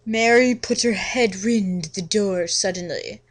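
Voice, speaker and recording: A woman's voice: medium pitch, unhurried. A woman giving a mock-dramatic first-person story reading, with melodramatic, exaggerated emphasis. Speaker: reader of a story. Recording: music under the voice.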